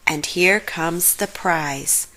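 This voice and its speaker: A woman's voice: lowish voice, drawn-out words, bright, forceful tone, full character voice, low-quality recording. A woman voicing an upbeat, reasonable young woman from a mystery gang in a cartoon storybook. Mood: cheerful.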